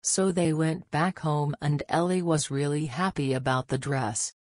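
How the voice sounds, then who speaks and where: Low-voiced woman narrating a storybook. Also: fairly even intonation, measured pace, darkish tone, dry recording, clean studio sound. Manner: plain, storytelling.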